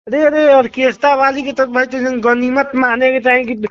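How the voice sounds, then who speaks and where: A man's voice: extremely high pitch, level tone, normal speed, dry recording. A man with a Bhojpuri accent in a heated phone argument, taunting and interrupting in a confrontational manner. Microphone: phone call. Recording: dull lo-fi phone call recording.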